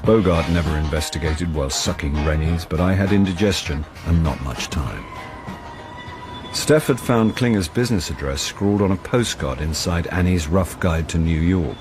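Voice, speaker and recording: An actor playing a wry, world-weary English amateur detective in a radio drama, with a dry, deadpan delivery. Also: male voice, deep voice, moderate pace, over music, lightly acted. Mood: wry, downbeat. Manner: dry, deadpan, self-mocking. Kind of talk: first-person radio drama narration and dialogue.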